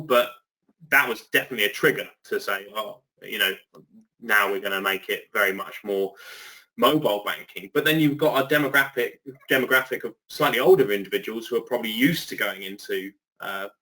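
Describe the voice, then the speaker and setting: A man's voice: medium pitch, bright, forceful tone, poor audio. An English man speaking as a guest on a webinar, chatty and loose, with many fillers and restarts, on a video call. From England. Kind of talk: conversational webinar discussion.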